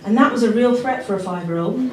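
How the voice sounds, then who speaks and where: A woman from Jamaica works through a stand-up set with a wry, self-mocking edge. She often laughs at her own lines. Her voice is high and swoops around a lot, caught from a distance in a very echoey club with audience laughter behind it. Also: a little hiss or hum. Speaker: stand-up comedian. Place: comedy club stage.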